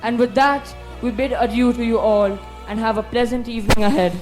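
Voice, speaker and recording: School play narrator, mid-pitched voice, scripted delivery, faint music underneath. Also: fairly even intonation, a little fast. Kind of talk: scripted stage narration with a moral.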